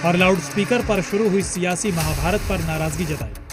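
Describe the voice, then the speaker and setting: A man's voice: high voice, normal speed. A man reading a scripted television news voice-over with a dramatic, emphatic delivery. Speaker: news reporter. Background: music bed.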